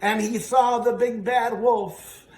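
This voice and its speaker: A man telling a children's story in an animated way, haltingly, repeating phrases for emphasis. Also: extremely high pitch. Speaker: storyteller and show host. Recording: roomy, distant, faintly noisy.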